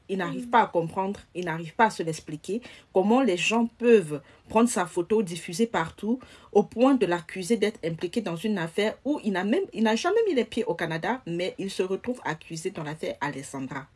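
A young woman speaks close to a phone camera in a small room, her voice low and somewhat husky. She talks to her audience directly, pressing her points with emphatic repetition. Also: a little fast, a little mellow. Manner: emphatic, addresses viewers directly. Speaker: social media commentator. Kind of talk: casual commentary.

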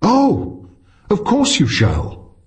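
Guy putting on a learned top astronomer, polite, measured, understated, storybook character, raised pitch. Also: huge pitch swings, darkish tone, full character voice. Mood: flustered courtesy. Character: senior male astronomer, courteous, understated, learned official.

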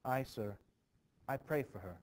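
A man's voice: mid-range voice, measured pace, a little mellow, natural voice. A man reading the part of a dutiful young village girl, a deferential messenger in a stage play. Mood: timid, dutiful.

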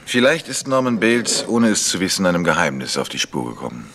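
A man's voice: low voice, very animated, brisk, fairly bright tone, a little hiss or hum, exaggerated voice. An actor playing a composed male amateur detective in a German radio crime drama, asking probing, matter-of-fact questions. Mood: brooding. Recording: long reverberant hall.

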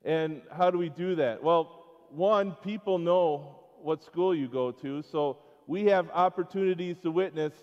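A middle-aged American man giving an informal children's sermon in a church, halting between short phrases and putting questions to his listeners. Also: fairly high pitch. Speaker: school chapel speaker. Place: church sanctuary, speaking before schoolchildren. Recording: dull, echoing church hall pickup.

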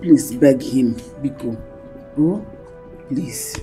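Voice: mid-range voice, drawn-out words, music in the background, low-quality recording. An actor with a Nigerian accent playing an anxious in-law who pleads for forgiveness in a film drama. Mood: anxious pleading.

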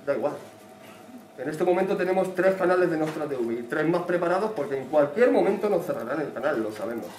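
A man with a Spanish accent opens an event with a passionate, defiant speech, holding a handheld mic. His voice sits a touch high, and the room echoes around it. He sounds a little distant from the mic, over faint background noise. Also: lively intonation, fast talker, darkish tone. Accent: Spanish from Spain. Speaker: channel host opening an event. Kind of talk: emotional welcome speech. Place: small indoor meeting room.

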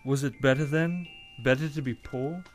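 A man's voice: mid-range voice, steady tone, moderate pace, faint music behind, lightly acted. An actor playing a proud, defensive young man in a radio play, questioning coldly.

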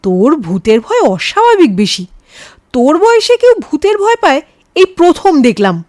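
A woman's voice: sits high, big pitch swings, normal speed, darkish tone, pitched above the performer's own voice. A woman voicing a self-assured, skeptical young man in an audio story, easygoing, teasing and dismissive. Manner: easygoing, mocking.

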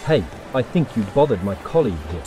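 A man's voice: medium pitch, very animated, brisk, dark tone, faint music behind, close to an everyday voice. A man playing a smug, haughty businessman in a sci-fi audio drama. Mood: mocking, aggressive.

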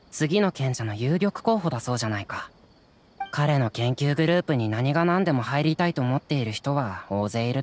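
A man's voice: fairly high pitch, close to an everyday voice. An actor playing a chatty, modest, helpful townsman in a Japanese anime game, talking in a casual, informative way.

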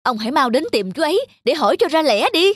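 A woman's voice: high voice, sing-song, fast, dry recording, clean studio sound. A woman voicing an envious, pushy wife in a cartoon folk tale. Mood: envious, incredulous. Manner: indignant, pushy.

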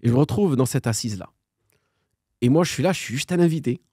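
A man's voice: mid-range voice, fast, breathy edge, no echo, clean studio sound. A man in his thirties, a podcast guest, telling a personal story in a casual conversation, acting out the dialogue and mixing in Arabic phrases. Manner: animated storyteller, acts out quoted dialogue, sprinkles in Arabic phrases.

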